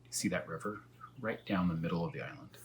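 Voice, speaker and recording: A man's voice: low voice, steady tone, fast. A man who is a first-grade teacher, reading a storybook aloud and musing in simple, casual asides for young children.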